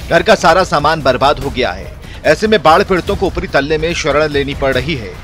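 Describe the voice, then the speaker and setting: A man reading a scripted news voice-over in a steady, formal way. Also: on the high side. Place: studio voice-over.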